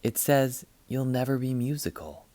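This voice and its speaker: Man as a storybook mom, soft, reassuring, patient encouragement, dry close sound. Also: medium pitch, expressive, moderate pace, no echo, voice pushed up, close to an everyday voice. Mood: gentle. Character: gentle, encouraging mother in a 1920s storybook.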